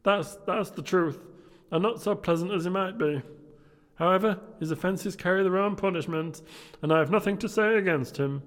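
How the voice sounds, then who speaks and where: A man voicing a jolly, laughing young gentleman, hearty and emphatic, as a comic storybook character. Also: high voice, normal speed, voice pushed up. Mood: amused, chatty. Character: jolly, laughing young gentleman, Scrooge's good-natured nephew. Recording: long hall-like reverb.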